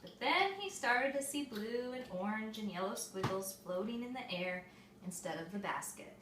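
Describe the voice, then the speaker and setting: A woman reading a picture book aloud to young children, expressive and explaining things simply, like a mother at storytime. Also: mid-range voice, normal speed. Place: echoey room with small children present. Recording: echoey room, distant mic, faint noise.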